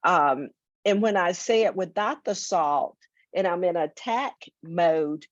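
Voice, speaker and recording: A woman's voice: lowish voice, slow pace, no room sound. A woman co-hosting a talk show, speaking earnestly and often repeating words as she thinks. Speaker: co-host. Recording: dull dry sound.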